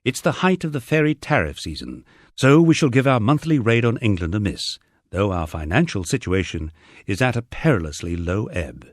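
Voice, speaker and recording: A man narrating a first-person memoir as an audiobook, reading in a measured, expressive storytelling manner. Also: fairly low pitch, no echo, studio quality.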